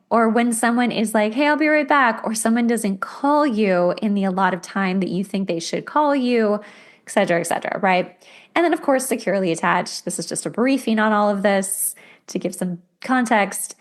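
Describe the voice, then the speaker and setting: A woman hosting a podcast, explaining self-help ideas in a casual, conversational way with imagined everyday examples. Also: on the high side. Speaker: podcast host.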